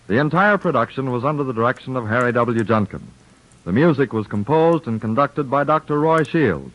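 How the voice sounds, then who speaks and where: A male radio announcer giving formal, measured broadcast credits and introductions. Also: medium pitch. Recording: dull old radio broadcast with music.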